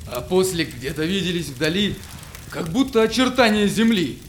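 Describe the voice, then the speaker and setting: An actor playing an anxious, storm-battered Cossack seafarer, speaking theatrical rhymed verse in an audio play. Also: male voice, high voice, animated, normal speed, a little hiss or hum. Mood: recollecting.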